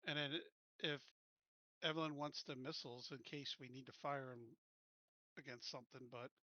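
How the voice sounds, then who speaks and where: A man's voice: medium pitch, little pitch movement, normal speed, sharp tone, dry recording, dull audio. A man playing a tabletop game, casually talking through tactics with the group.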